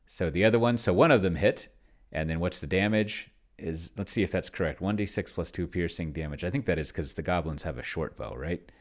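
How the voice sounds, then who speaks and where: A man with a deep voice talks casually through a game on his computer. His pitch moves around a lot as he muses out loud. He rambles, often letting phrases trail off into a mutter. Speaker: tabletop game player and video creator. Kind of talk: casual screen-share commentary, thinking aloud.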